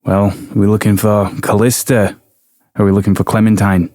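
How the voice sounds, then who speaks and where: Guy playing a tabletop rpg, lowish voice, narrates and talks in character, dry close mic. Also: level tone, normal speed, darkish tone, dry recording. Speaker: tabletop game player.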